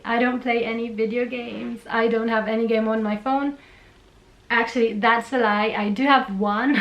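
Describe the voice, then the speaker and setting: A woman's voice: mid-range voice, vocal fry. A young woman from Italy with an Italian accent, chatting through a Q&A on her own channel. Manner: bright and chatty, reads out questions then answers at length. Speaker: vlogger. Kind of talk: casual Q&A vlog. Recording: echoey room.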